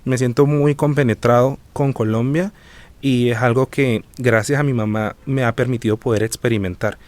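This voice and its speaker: A man in his twenties with a Venezuelan accent, telling his own story as a guest in an earnest, measured way. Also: medium pitch, steady tone, darkish tone. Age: 20s. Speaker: guest, student. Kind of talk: reflective personal account.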